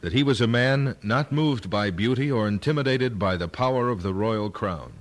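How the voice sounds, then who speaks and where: A man's voice: medium pitch, normal speed. A man narrating and announcing a radio drama series in a scripted style.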